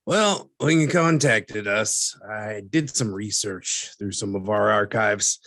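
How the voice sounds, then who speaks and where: A man playing a dry, skeptical newspaperman who explains things in a measured, wry interview style for an audio drama. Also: lowish voice, fairly bright tone, no echo, heavily acted. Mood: matter-of-fact. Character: dry, skeptical newspaperman recounting archived cases.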